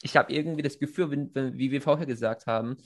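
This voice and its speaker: A man's voice: mid-range voice, fast. A man whose first language is Chinese, speaking German in a casual conversation, hesitant with many fillers and restarts. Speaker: conversation partner.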